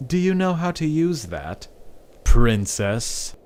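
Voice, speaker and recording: A man's voice: on the high side, big pitch swings, takes it slowly, a little mellow. Man playing a smug, sarcastic scheming Duke. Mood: taunting. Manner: mock-courteous, sarcastic. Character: smug, lecherous, scheming aristocratic male Duke. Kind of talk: audio drama acting.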